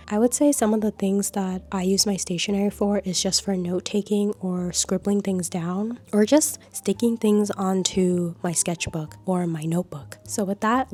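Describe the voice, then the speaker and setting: A woman with a slightly scratchy voice from a cold, chatting casually and unhurriedly in a low-energy voice-over about her craft supplies. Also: mellow. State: a little sick, scratchy voice. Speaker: art vlogger.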